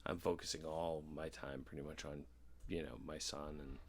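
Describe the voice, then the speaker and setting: A man in his thirties speaks in a low, creaky voice. He chats casually, as on a podcast, and rambles along candidly with frequent stops and restarts. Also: little pitch movement, slight background noise. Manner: halting, rambling, candid, swearing freely. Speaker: podcast host. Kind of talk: casual podcast chat about personal experience.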